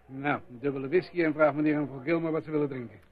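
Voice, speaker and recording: An actor playing a gossipy, grumbling village doctor in a radio play. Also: male voice, fairly high pitch, fairly even intonation, racing, natural voice. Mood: chatty, grumbling. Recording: muffled, phone-like lo-fi radio play.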